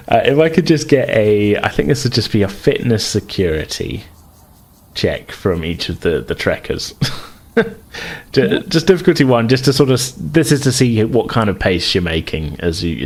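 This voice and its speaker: Man, low voice, game master, halting improvised scene description, frequent rephrasing. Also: lively intonation. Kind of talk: improvised narration of a tabletop role-playing game.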